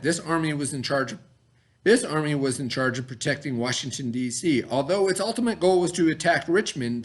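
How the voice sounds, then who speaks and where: A man reading aloud as a teacher, in an expressive, instructive way, with questions and simple explanations for pupils. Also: on the high side.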